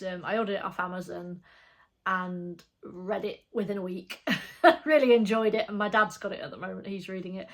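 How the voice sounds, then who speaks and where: A woman, a bit bunged up with a cold, chatting casually and rambling in a craft vlog at home. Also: lowish voice. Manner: rambling, chatty, soft-spoken with frequent fillers. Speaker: flosstube vlogger. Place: her home.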